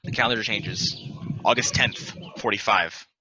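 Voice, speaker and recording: A man narrating stage directions at a play table read in a plain, deliberate, announcing manner. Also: lowish voice, sharp tone, muffled recording, a little hiss or hum.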